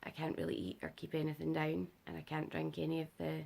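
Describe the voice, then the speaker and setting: A young British woman giving a casual vlog update, her voice rough and worn because she is unwell and has not slept, rambling with lots of fillers. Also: completely flat delivery, fast, a little mellow. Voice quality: rough gravelly voice. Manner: rambling, filler-heavy, low-energy. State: unwell and sleep-deprived. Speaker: vlogger.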